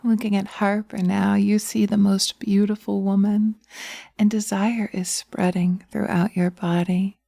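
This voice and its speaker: Slow, sultry, hushed witch played by a woman. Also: medium pitch, level tone, gravelly, no echo. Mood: seductive, commanding. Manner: sultry, slow, hushed, often dropping to a whisper. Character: seductive, taunting witches scorned, dominant and vengeful women. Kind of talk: slow hypnosis roleplay, ASMR. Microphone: close binaural microphone.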